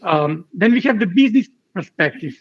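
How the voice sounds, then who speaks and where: A man from Slovenia in his thirties gives a keynote lecture over a video call, his voice fairly high. He talks haltingly, repeating words and filling gaps with "uh". The dull, lo-fi call audio muffles him. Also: expressive, husky.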